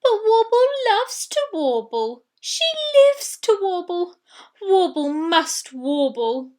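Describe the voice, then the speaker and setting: A woman's voice: extremely high pitch, sing-song, takes it slowly, a little mellow, pitched above the performer's own voice, exaggerated voice. A woman voicing the littlest walrus, a loyal, earnest, childlike youngster in a storybook. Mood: defiant.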